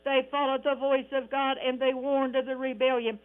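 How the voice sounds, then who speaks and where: A woman's voice: high-pitched, flat delivery, normal speed. An older woman preaching an impromptu Pentecostal radio sermon, fervent and exclamatory, peppering scripture with interjections of praise. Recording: tinny phone-like radio sound.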